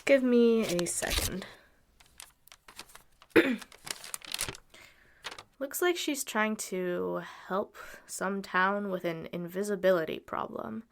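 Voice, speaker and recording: Woman playing an unhurried, wary wandering musician. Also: medium pitch, gravelly, a little hiss or hum. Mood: matter-of-fact. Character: wary, practical, dry-witted female wandering musician.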